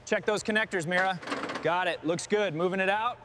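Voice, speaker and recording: A man playing a steady crewmate on a machinery job, giving clipped, practical calls and confirmations. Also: high voice, fairly quick, close to an everyday voice. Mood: steady, businesslike. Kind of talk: scripted workplace dialogue, short crew calls. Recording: loud machinery and vehicle noise behind.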